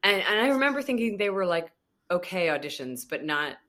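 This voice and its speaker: A woman's voice: fairly low pitch, sing-song, a little fast, fairly bright tone. A woman in her thirties, a guest actor, telling a story in a casual interview and acting out her own past thoughts.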